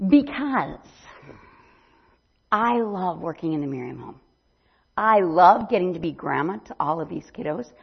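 A woman's voice: fairly low pitch, lively intonation, unhurried, gravelly, pauses often, husky. An older woman giving a chatty mission presentation at church, rambling with many ums. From not from Brazil. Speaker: missionary speaker. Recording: dull lo-fi sound.

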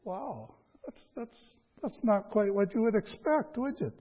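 A man preaching a conversational sermon in a folksy, halting way, repeating phrases and asking rhetorical questions. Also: high-pitched, sing-song, dull audio. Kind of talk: conversational sermon with scripture reading.

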